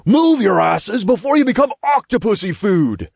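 High, cocky little imp. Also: male voice, very animated, moderate pace, gravelly, a little mellow, breathy edge, dry recording, studio quality, exaggerated voice. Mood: urgent, bossy. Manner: brash, boastful, wisecracking. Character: tiny cocky crude male imp sidekick.

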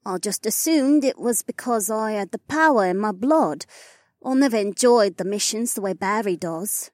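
A woman voicing earnest, devoted elven and spirit women in a fantasy audiobook, with an expressive manner. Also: medium pitch, moderate pace, no echo, studio quality, close to an everyday voice. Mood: reflective.

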